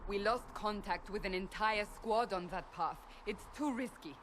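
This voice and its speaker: An actress playing a blunt, impatient warrior seeker in a fantasy video game. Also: female voice, medium pitch, normal speed, a little hiss or hum, natural voice. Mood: defiant. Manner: blunt, forceful.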